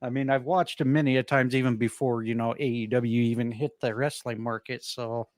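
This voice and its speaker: A man hosting a wrestling podcast in a relaxed, rambling way. He talks at a middle pitch, pads his lines with fillers and pokes fun at himself. His mic sounds dry and close, with no room around it. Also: brisk, no echo. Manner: easygoing, rambling, self-deprecating with frequent fillers. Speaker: podcast host. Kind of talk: casual wrestling podcast chat.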